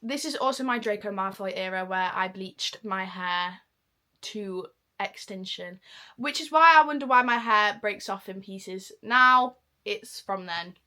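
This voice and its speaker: Bright-voiced, self-mocking young woman. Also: mid-range voice, measured pace. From Manchester, England. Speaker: vlogger. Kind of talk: casual reaction vlog chat.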